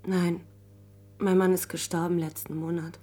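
A woman's voice: lowish voice, level tone, normal speed, warm tone, lightly acted. An actress playing a polite, grieving widow who has lost her home, hesitant and apologetic in a radio drama. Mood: grieving, resigned. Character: timid, polite, newly homeless grieving widow. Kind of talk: German radio drama acting.

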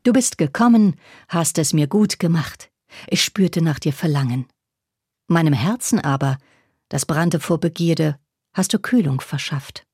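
A woman's voice: low-pitched, expressive, unhurried, darkish tone, slightly rough, no echo, studio quality, full character voice. A woman reciting verse as a passionate ancient lyric poet in a radio feature. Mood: passionate, longing. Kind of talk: literary recitation of poetry.

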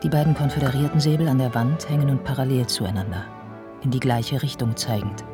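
A man acting as the sober narrator of a radio drama, with measured, literary, matter-of-fact delivery. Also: medium pitch, fairly even intonation, over music, natural voice. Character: sober male narrator observing an ageing writer's life.

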